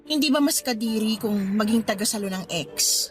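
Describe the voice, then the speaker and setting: A woman's voice: medium pitch, normal speed, soft background music, lightly acted. An actress playing an exasperated young woman with a Filipino accent in a radio drama, scolding her friend in sarcastic slang.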